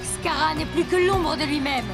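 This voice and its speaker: A woman's voice: high-pitched, normal speed, creaky. A woman voicing a dramatic, astonished football commentator in a cartoon. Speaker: sports commentator. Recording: loud music behind the voice.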